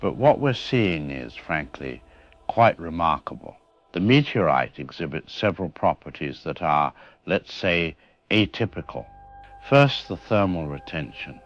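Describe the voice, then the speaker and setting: Guy acting a middle-aged professor, really low voice, measured and reassuring, slightly muffled, radio drama interview. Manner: careful, deliberate, academic. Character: measured, reassuring male science professor, experienced expert. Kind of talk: scripted expert interview in audio drama.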